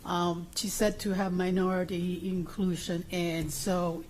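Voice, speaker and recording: A woman's voice: lowish voice, little pitch movement, measured pace, darkish tone, a little hiss or hum, poor audio. A woman from Puerto Rico giving informal testimony at a public hearing, telling it through anecdotes and quoted remarks. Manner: anecdotal, acts out remembered remarks. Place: city council chamber hearing.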